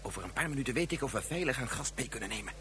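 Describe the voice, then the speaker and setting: A man's voice: mid-range voice, animated, rapid-fire, bright, forceful tone, slight background noise. A hesitant scientist father. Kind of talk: 1970s radio drama acting.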